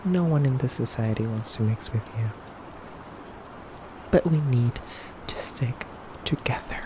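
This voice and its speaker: A man playing an aloof, condescending male vampire in an ASMR roleplay, measured and halting, often dropping toward a breathy whisper. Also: medium pitch, noisy background, lightly acted. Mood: aloof, stern. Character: aloof, condescending, elegant femboy vampire interrogator. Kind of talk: slow, soft ASMR roleplay monologue.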